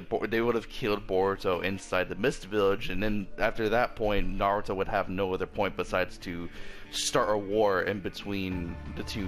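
A man with a very deep voice and a sore throat talks through an episode review. He rambles casually, stumbling over restarts and fillers, while soft background music plays underneath. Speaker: anime reviewer.

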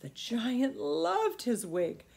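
A woman's voice: fairly high pitch, big pitch swings, very slow, vocal fry, darkish tone. A middle-aged woman reading a children's storybook aloud expressively, recorded in a room at home. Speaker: storybook reader. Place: small indoor room at home.

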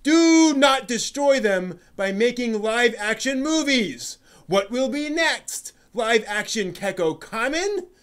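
A man's voice: very high-pitched, animated, slow, few pauses, a bit bright, clean tone, pitched above the performer's own voice, heavily acted. A man voicing an exasperated male anime fan who rants melodramatically in a comedic dramatic reading. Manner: melodramatic ranting, exaggerated sighs and groans.

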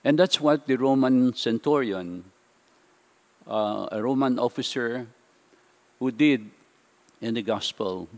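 An older Filipino-accented priest gives a homily at a lectern mic in a big church. His pace is very slow and his pitch is middling. He stops often on "uh" and moves between English and Tagalog. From Philippines. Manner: slow, halting delivery with frequent "uh" fillers, switching between English and Tagalog. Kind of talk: sermon. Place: large church, indoors. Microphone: stand mic at the lectern.

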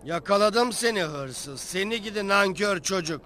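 Cartoon villain brother, high tense voice, bullying, spiteful, scheming, harsh, accusing. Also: male voice, animated, normal speed, bright, forceful tone. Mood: angry, accusing. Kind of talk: cartoon dubbing.

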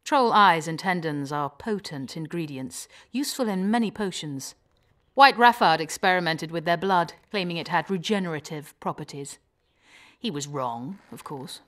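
A learned fantasy alchemy expert in a game, with a slightly low female voice. She is cool-headed, measured and matter-of-fact. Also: lively intonation, a bit bright. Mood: assured, explanatory. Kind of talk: video game character acting.

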